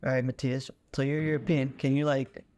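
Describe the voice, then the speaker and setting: A man's voice: medium pitch, flat delivery, fairly quick, darkish tone, dry recording. A young man co-hosting an interview in casual, slangy, informal talk. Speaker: podcast co-host, interviewer. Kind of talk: casual chat.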